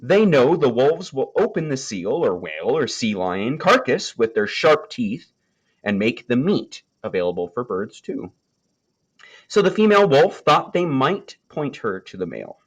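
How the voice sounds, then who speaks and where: A man reading a children's nature story aloud, with expressive storytelling delivery and deliberate phrasing. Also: mid-range voice, breathy edge. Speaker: storybook reader.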